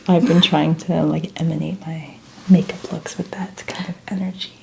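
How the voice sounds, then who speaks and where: A woman speaking softly and often whispering in a breathy voice, gently narrating each step as an ASMR makeup host. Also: low-pitched, level tone, moderate pace, heavy background noise. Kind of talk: soft-spoken ASMR roleplay.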